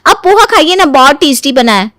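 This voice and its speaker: A woman voicing a sly, playful young daughter-in-law in a cartoon story, sugary and expressive while feigning innocence. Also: high voice, brisk, dry recording, studio quality, exaggerated voice. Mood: sweet, cheerful. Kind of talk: animated Hindi moral story voice acting.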